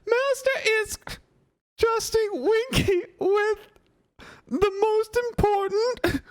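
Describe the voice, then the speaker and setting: A man voicing a drunk, tearful female house elf who is loyal to her master, with a squeaky, slurred voice and broken grammar. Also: slow pace. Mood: mutinous.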